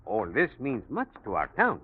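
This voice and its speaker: An obliging, talkative South American hotel man with a Spanish accent. His courteous, probing speech swings widely in pitch and falters politely. It sounds like a forties radio play on a thin, noisy old recording. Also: huge pitch swings, moderate pace, phone-quality sound. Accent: Latin American Spanish. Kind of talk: 1940s radio drama acting.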